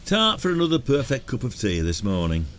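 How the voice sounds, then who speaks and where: An actor playing a dry, stubborn retired village detective in an audio drama. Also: male voice, medium pitch, wildly swooping pitch, a little fast, fairly bright tone, recorded from a distance, a little hiss or hum. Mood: wry. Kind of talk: scripted audio drama dialogue.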